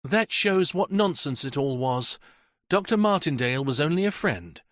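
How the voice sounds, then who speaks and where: A man's voice: a little high, very animated, normal speed, a bit bright, dry recording, studio quality, voice pushed up. A man voicing a frightened, evasive woman under police questioning, tired and plaintive, stammering as she speaks. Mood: defensive. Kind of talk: audiobook character voice.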